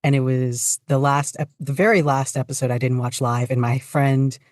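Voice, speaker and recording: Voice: mid-range voice, fairly even intonation, normal speed, dry recording, clean studio sound. A podcast guest in their twenties chatting casually and joking dryly in an interview. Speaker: podcast guest, fan fiction writer and podfic reader.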